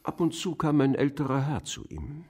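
An actor playing a terse, guarded old pensioner questioned as a neighbour in a German radio drama. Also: male voice, a little high, animated, moderate pace, a little mellow, husky. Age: older. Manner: terse, guarded, matter-of-fact answers. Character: reticent old pensioner, a murdered man's nosy neighbour.

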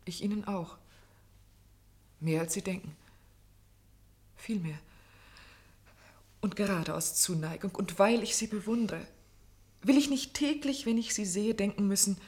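Female actor, young secretary, medium pitch, earnest, insistent, articulate, radio drama. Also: normal speed, long pauses, darkish tone, close to an everyday voice. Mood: tender, reproachful. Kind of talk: German radio drama acting.

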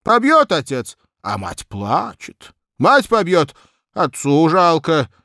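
A man's voice: fairly high pitch, huge pitch swings, takes it slowly, bright, forceful tone, no echo, clean studio sound, voice pushed up, exaggerated voice. A man voicing a simple-hearted young peasant cabman with speech, rambling in a folksy, plaintive way for an audiobook. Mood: plaintive, nostalgic. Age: young adult. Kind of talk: audiobook character acting.

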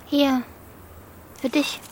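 A woman's voice: extremely slow, a little hiss or hum. A woman playing a level-headed thirteen-year-old girl, terse and matter-of-fact, in a radio drama. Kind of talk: naturalistic radio drama acting.

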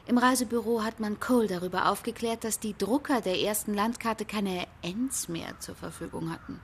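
An actress playing a matter-of-fact companion in a radio play, precise and keen to inform. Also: female voice, mid-range voice, moderate pace, slight background noise, lightly acted.

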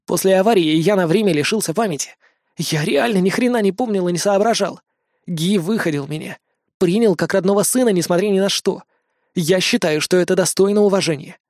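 A weary alien man centuries old, rough-spoken and resigned, kept close to the reader's own voice, as a man plays him. The audiobook recording is dry and close. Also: high-pitched, a little fast, a little mellow, no echo. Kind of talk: audiobook character voice.

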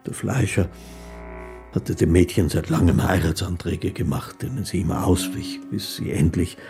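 A melancholy, naive man telling of his lost love in a radio drama. His low voice is gravelly and very breathy-rough, moving haltingly, phrase by phrase, over faint music. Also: mellow. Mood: sorrowful, resigned. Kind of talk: radio drama first-person narration.

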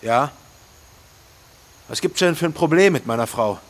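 A man's voice: medium pitch, expressive, moderate pace, lightly acted. An actor playing a violent ex-convict stepfather in a German audio drama, with a threatening, taunting, slangy delivery. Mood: curt, menacing. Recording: music behind the voice.

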